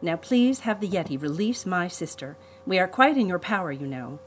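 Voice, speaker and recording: A woman's voice: fairly low pitch, animated, normal speed, slight background noise, natural voice. A brave young girl from a storybook, voiced by a woman. She is earnest and assertive, a protective big sister who speaks politely but firmly. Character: bold, quick-thinking young girl, protective eldest sister.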